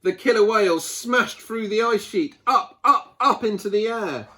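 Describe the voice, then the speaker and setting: A man reading a picture book aloud to children, expressively, with dramatic pauses and emphasis. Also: sits high, sharp tone. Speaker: children's storyteller.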